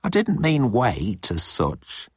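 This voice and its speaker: A man's voice: mid-range voice, wildly swooping pitch, slow, husky, no room sound, studio quality, voice pushed up, heavily acted. A man voicing a timid young alien crewman, anxious and miserable, in an audiobook story. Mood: nervous. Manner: miserable, anxious, blurting protests.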